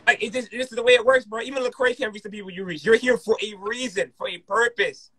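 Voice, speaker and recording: Husky, bright male informal preacher. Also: high-pitched, quick pace, no room sound. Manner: emphatic, repeats phrases. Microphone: close podcast microphone with headset.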